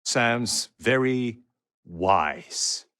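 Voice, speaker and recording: An actor playing a measured, discreet Victorian man in a video game, speaking deliberately and in gentle admonishment. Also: medium pitch, animated, no echo, clean studio sound. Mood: measured, wry.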